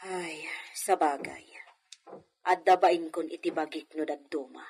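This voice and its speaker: A woman acting a husky, chiding Ilocano mother. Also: low voice, fairly even intonation, a little slow, darkish tone, full character voice. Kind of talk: Ilocano comedy radio drama acting.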